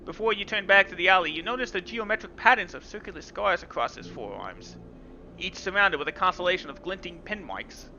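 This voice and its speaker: A man's voice: high voice, animated, a little fast, pushed and bright, a little hiss or hum. A man hosting a gaming video, reading game text dramatically with casual asides. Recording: dull lo-fi sound with game music.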